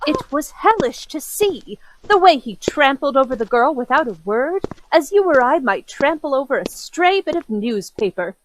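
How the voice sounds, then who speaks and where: A genteel Victorian storyteller played by a woman, fairly high in pitch and very animated. The manner is theatrical, formal and chatty, with ready indignation, as in an old-fashioned radio play. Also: moderate pace, hardly any pauses, background noise. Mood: horrified storytelling. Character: genteel Victorian stroller telling a horrid tale, indignant.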